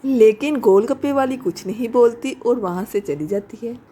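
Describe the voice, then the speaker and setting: A woman's voice: on the high side, moderate pace, dark tone, husky. A woman narrating a scripted horror story as a storyteller.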